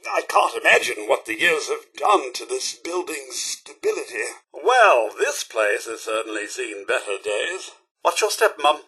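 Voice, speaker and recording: A man's voice: medium pitch, sing-song, measured pace, sharp tone, husky, full character voice. A man voicing a prim, fussy, courteous robot butler in a video game, with a British accent and a polite, theatrical manner. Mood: fussy concern. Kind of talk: video game companion voice lines.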